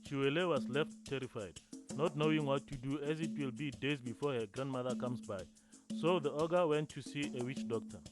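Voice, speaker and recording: A man voicing a grandfather who tells a folk tale in a calm, measured way. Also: medium pitch, natural voice. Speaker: storyteller. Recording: music playing underneath. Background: music.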